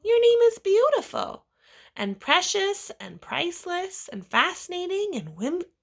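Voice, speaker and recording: A woman voicing an adoring father from a children's picture book, gushing with emphatic, measured praise. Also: extremely high pitch, very animated, vocal fry, voice pushed up, heavily acted.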